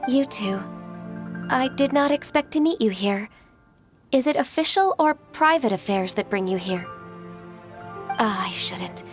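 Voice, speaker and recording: Gentle, high-voiced, formal immortal secretary. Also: female voice, lively intonation, music in the background. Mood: reserved. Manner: polite, measured, formal. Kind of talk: video game voice acting.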